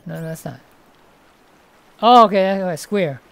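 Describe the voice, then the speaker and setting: A woman's voice: on the low side, normal speed, vocal fry, poor audio. A woman streaming a VR game from home, chatting casually as she plays, full of hesitant restarts and thinking aloud. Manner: rambling, hesitant, lots of restarts. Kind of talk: casual live-stream commentary. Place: room at home, seated in a VR headset.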